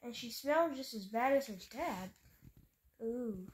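A woman with a high voice reads a children's picture book aloud. Her delivery is playful and sing-song, with emphasis on the rhyming words. It is a homemade bedroom recording with clear background noise. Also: expressive, a little slow. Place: bedroom at home, indoors.

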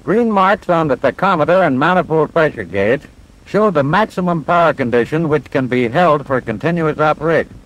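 Lively, higher-pitched flight instructor played by a man. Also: normal speed, close to an everyday voice, poor audio. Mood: patient, instructive. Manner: clear, methodical instructional delivery. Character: instructor pilot, patient, confident, explaining cockpit controls. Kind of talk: 1940s military training film dialogue. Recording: vintage 1940s film soundtrack.

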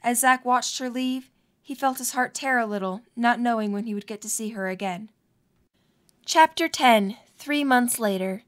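A woman's voice: fairly high pitch, moderate pace. A woman reading scripted narration for a fan-made audio drama.

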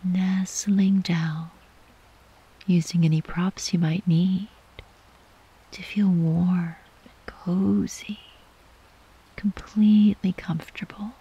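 A woman guiding a sleep meditation in a soft, breathy, whispery voice, gently and soothingly, with long unhurried pauses. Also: fairly low pitch, muffled recording. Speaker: yoga nidra meditation guide.

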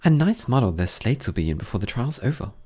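A man's voice: mid-range voice, sing-song, fast talker, darkish tone, slightly rough, voice pushed up. A man reading an audiobook voices a curious, prim little girl with a raised, girlish voice.

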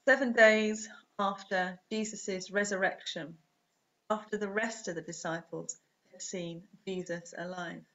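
A woman's voice: mid-range voice, fairly even intonation, fairly bright tone. Woman preaching, slightly dull recording. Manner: measured, deliberate phrasing in short units. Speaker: preacher, Anglican priest. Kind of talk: scripted sermon read to camera. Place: small home room, indoors. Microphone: webcam or laptop at desk distance. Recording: dull, muffled webcam audio.

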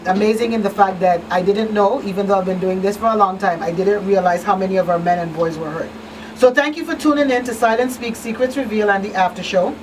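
A woman's voice: medium pitch, recorded from a distance, slight background noise, poor audio. Middle-aged woman host, slightly off-mic. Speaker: radio talk show host. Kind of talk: public-service talk show wrap-up. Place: small indoor radio studio. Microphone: boom-mounted studio microphone.

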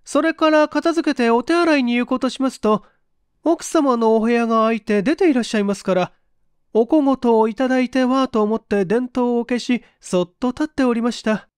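A humble young housemaid from a mystery audiobook, as acted by a male reader. She speaks formally and carefully, in a smooth, clean voice recorded dry and close. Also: very high-pitched, no room sound, voice pushed up. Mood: deferential, careful. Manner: formal, humble, measured storytelling.

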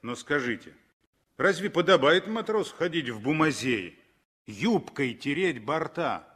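A man narrating a 1960s radio drama as an expressive storyteller from a script. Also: fairly high pitch, unhurried, slightly rough.

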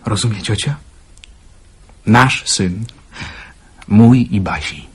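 A man playing a calm young lover. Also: fairly low pitch, takes it slowly, breathy edge, full character voice. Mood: earnest. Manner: calm, earnest, gently teasing. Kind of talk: radio drama acting.

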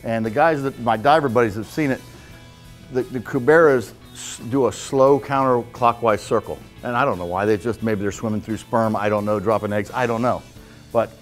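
Fifties guy teaching a fishing class, blunt and chatty, lapel mic in a meeting room, a bit of music underneath. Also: medium pitch. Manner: blunt, folksy, repeats "okay?" to check listeners. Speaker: fishing seminar speaker. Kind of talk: casual hands-on fishing talk. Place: club meeting room with whiteboard.

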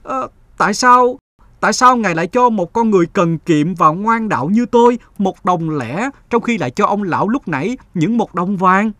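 A man voicing a whining, scheming young beggar who pleads and flatters in a cartoon morality tale. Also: sits high, moderate pace, no room sound, pitched above the performer's own voice. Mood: dismayed. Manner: pleading, ingratiating.

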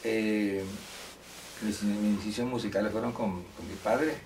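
Older coastal man, gravelly and distant. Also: on the low side, steady tone, unhurried, a little mellow. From Colombia, Córdoba. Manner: anecdotal, chatty, with hesitant restarts. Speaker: musician interviewee. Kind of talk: relaxed storytelling interview. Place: indoor room beside a grand piano. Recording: distant mic with faint room noise.

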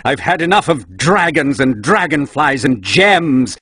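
An actor playing an exasperated, greedy bear merchant in a video game, ranting melodramatically. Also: male voice, fairly high pitch, very animated, a little slow, sharp tone, husky, no echo, studio quality, full character voice. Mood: frantic, fed up. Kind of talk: animated video game character acting.

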